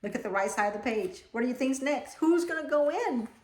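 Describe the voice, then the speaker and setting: A woman reading a picture book aloud to children, expressive and animated, with chatty, exclaiming asides to young listeners. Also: high voice, a little fast. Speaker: read-aloud storyteller for children. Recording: echoey room.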